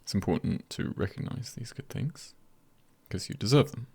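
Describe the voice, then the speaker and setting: A man speaking softly in an ASMR style, hushed and often near a whisper, giving gentle encouragement in deliberate, spaced-out phrases with a breathy, husky voice. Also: lowish voice, lively intonation, dry recording. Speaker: ASMR creator. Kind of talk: ASMR, soft-spoken encouragement.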